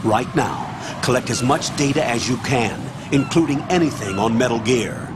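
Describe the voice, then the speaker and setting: Casting: male actor as older military commander, middle pitch, stern, guarded, firm orders, game dialogue over noticeable noise. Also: moderate pace, close to an everyday voice. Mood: commanding. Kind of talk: video game radio briefing acting.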